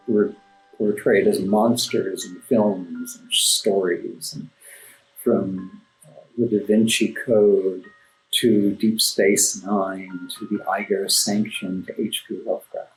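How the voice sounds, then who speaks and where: A man giving conversational interview answers as a guest playwright, articulate and formally worded, often halting and repeating words for emphasis. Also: fairly low pitch, steady tone, darkish tone. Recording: roomy echo, music underneath. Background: classical background music.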